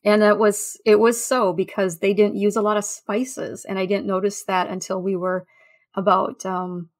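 A woman guest in a conversational podcast interview, telling anecdotes in a halting, thinking-aloud way. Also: medium pitch, flat delivery. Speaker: interview guest, food history researcher.

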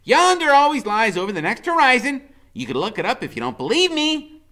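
A man's voice: very high-pitched, very animated, fairly quick, sharp tone, pitched above the performer's own voice, heavily acted. A man voicing a small, imaginative boy who talks in grand, old-fashioned knightly phrases. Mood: grandiose.

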